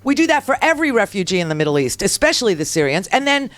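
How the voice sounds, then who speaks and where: A woman's voice: medium pitch, very animated, racing, fairly bright tone, faint music behind. A woman hosting a political talk radio show, lecturing her listeners with brash sarcasm.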